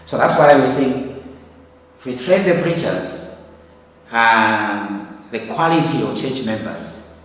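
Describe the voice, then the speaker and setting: A man's voice: mid-range voice, lively intonation, slow pace. An older man from Zambia giving a church report in a hesitant, informal way, with many fillers and restarts. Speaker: ministry leader giving a report. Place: church hall. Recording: echoey hall, mic at a distance.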